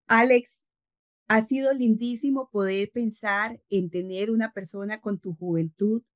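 A woman's voice: medium pitch, steady tone, unhurried, no echo. A middle-aged woman with a Costa Rican accent hosting an interview over a video call, effusive and formal. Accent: Costa Rican Spanish. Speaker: host.

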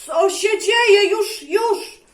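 A woman voicing a wise, kind king who speaks slowly and earnestly. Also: very high voice, soft background music, pitched above the performer's own voice, full character voice. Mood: alarmed. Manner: slow, earnest, concerned. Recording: echoey room.

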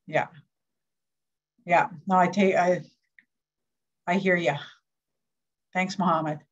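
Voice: medium pitch, fairly even intonation, takes it slowly, muffled recording. A host moderating a town hall on a video call, in a friendly, conversational way. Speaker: host, councillor.

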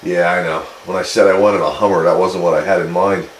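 A man chatting casually as a hobby radio operator, explaining things in a rambling, halting way. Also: low-pitched. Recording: roomy shack with steady background noise.

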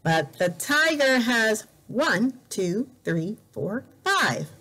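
A woman teacher reading a picture book aloud to children in a deliberate, exaggerated way, repeating words for learners, recorded indoors on a device camera. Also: on the high side, very animated, bright, forceful tone. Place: indoors in a room by a bright window.

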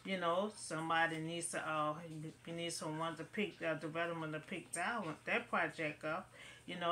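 A woman's voice: on the low side, a bit bright, a little hiss or hum. Rambling New woman vlogging from home. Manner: rambling, many "you know" fillers. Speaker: local news vlogger. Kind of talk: casual commentary to camera. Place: bedroom at home, indoors. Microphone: webcam in front of her.

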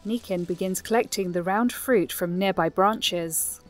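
Female narrator for audio drama: scripted storytelling, medium pitch, faint music behind. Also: a little slow.

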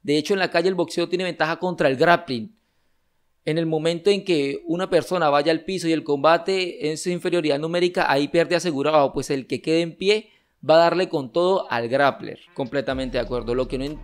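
Animated young man arguing into a mic. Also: fairly high pitch. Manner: emphatic, gestures a lot while arguing. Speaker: wrestling channel host reacting to comments. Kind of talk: casual reaction commentary. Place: home streaming room with gaming chair. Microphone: studio mic on boom arm, headset.

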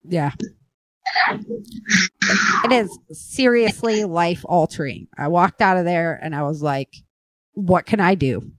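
A woman's voice: lowish voice, sing-song, slow pace, pauses often, a bit bright, no echo. An American woman hosting a casual talk show, speaking in an earnest, opinionated and emphatic way. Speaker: podcast host and author.

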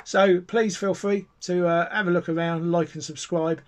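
A man's voice: high voice, fairly even intonation, moderate pace. A middle-aged man with a British accent giving a casual, enthusiastic, rambling explanation as a hobbyist video host. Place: small cluttered home radio room, indoors.

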